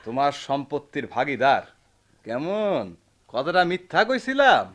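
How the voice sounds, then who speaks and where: An actor playing a sly, taunting village elder brother in a radio play, sarcastic and theatrical, with a rural Bangladeshi accent. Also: male voice, on the high side, big pitch swings, a little slow. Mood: mocking, indignant. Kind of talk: Bengali radio play acting.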